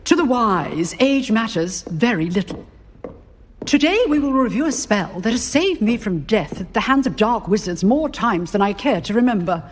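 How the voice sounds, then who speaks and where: A woman playing a battle-hardened old magic professor in a video game, lecturing drily and briskly in a British accent. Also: a little high, lively intonation, slight background noise, natural voice. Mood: wry, proud. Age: older. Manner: dry, brisk, authoritative lecturing. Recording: long hall reverb, faint music.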